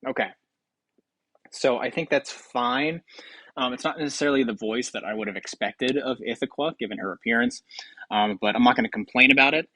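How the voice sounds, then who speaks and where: A man's voice: mid-range voice, a little fast, sharp tone, no echo. A man hosting a game show with casual, improvised commentary in a rambling, offhand way.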